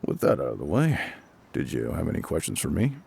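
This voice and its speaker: Very deep, gravelly male fire captain acted out. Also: wildly swooping pitch, dark tone, heavily acted. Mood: matter-of-fact, reassuring. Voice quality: gruff, gravelly, husky. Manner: measured, matter-of-fact, reassuring. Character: gruff, calm, reassuring male fire captain. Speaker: voice actor in audio roleplay. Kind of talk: intimate scripted audio roleplay spoken to the listener.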